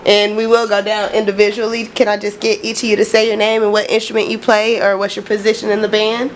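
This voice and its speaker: A woman's voice: mid-range voice, flat delivery, fast, heavy background noise. Giggly young-sounding woman interviewer. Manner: upbeat, giggly, loose phrasing. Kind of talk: casual interview.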